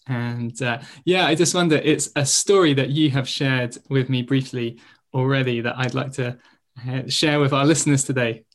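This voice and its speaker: A man hosting a podcast, reading a scripted introduction and then asking interview questions in a measured, polite way. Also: medium pitch, steady tone. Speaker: podcast host.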